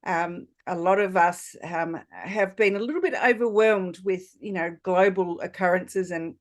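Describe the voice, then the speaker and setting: A woman in her sixties hosts a podcast in a slightly low voice. She talks informally and effusively, drifting through introductions and questions in a chatty, rambling way. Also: unhurried. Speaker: podcast host. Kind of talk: podcast introduction and interview chat.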